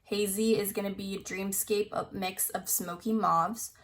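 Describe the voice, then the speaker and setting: Young woman beauty vlogger, casual and rambling, slightly scratchy voice, middle pitch. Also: fairly even intonation, moderate pace. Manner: rambling, full of "like", reads product blurbs aloud. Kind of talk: casual chat reviewing new makeup releases.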